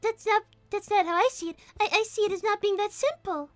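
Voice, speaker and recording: Cartoon bunny girl, extremely high voice, prim and formal, excitable, easily indignant. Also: fairly quick, no echo, soft background music. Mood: insistent. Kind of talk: live in-character improv reading chat messages.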